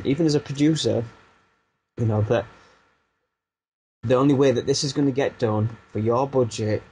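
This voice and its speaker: A man's voice: medium pitch, darkish tone, slight background noise, poor audio. A man in his thirties with a Barnsley Yorkshire accent, a music producer turned coach, chatting casually in an interview and talking in loose, self-deprecating restarts. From Barnsley, England. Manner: rambling, self-deprecating, frequent restarts and fillers.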